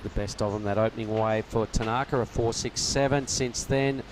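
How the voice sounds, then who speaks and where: A man's voice: medium pitch, steady tone, normal speed, a little hiss or hum, poor audio. Upbeat male surf commentator. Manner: upbeat, leads the talk, says mate. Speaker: lead commentator.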